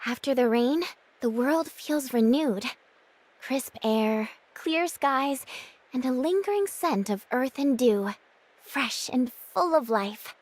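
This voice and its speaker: A woman playing a gentle, poetic, helpful young woman in an anime-style game. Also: high voice, animated, slow, full character voice. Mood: serene.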